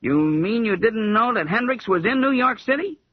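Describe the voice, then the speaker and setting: An actor playing a blunt, impatient police sergeant with slangy, demanding talk in a 1940s radio drama. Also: male voice, sits high, animated, moderate pace, husky, dry recording. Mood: gruff, impatient. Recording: muffled, old 1940s radio broadcast.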